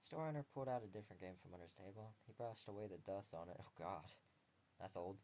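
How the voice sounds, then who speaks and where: A man's voice: sits low, quick pace, mellow, highs cut off, slight background noise. A young man reading a visual novel aloud as a let's player, expressively, with casual wry asides. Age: young adult.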